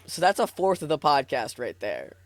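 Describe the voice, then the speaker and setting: Animated man riffing casually on a livestream. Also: a little high, moderate pace. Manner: joking, riffing, profane. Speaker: stream co-host.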